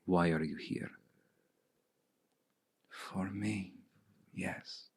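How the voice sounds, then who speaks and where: A man playing a seductive, commanding male vampire in an intimate ASMR roleplay, speaking slowly and deliberately in a hushed, breathy voice close to the mic. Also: fairly even intonation, full character voice. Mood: smug, teasing. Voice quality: low, gravelly, breathy. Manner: slow, deliberate, hushed, often breathy near-whisper. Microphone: close mic, dry.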